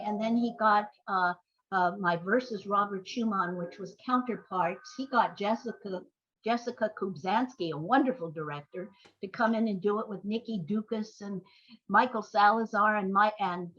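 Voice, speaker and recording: An older woman reminiscing in a conversational interview, wordy and halting as she corrects herself. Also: medium pitch, fairly bright tone. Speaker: interview guest, playwright and screenwriter. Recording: dull, lo-fi audio.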